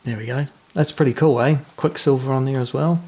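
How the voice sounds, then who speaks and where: A man's voice: medium pitch, fairly even intonation, fairly quick, mellow, soft background music. Laid-back New Zealand man streaming. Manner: laid-back, chatty, self-deprecating. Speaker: sim racing streamer. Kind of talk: casual gameplay commentary.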